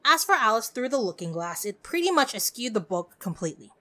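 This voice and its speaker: A woman podcast host giving conversational scripted film commentary in an expressive, effusive way. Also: on the high side, fairly quick.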